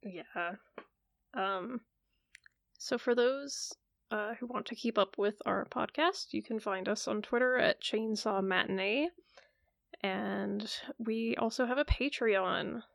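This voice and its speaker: A woman's voice: medium pitch, slow pace, creaky, a bit bright, dry recording, clean studio sound. A woman hosting a casual podcast chat, chatty and laughing often, with plenty of filler words. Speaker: podcast host.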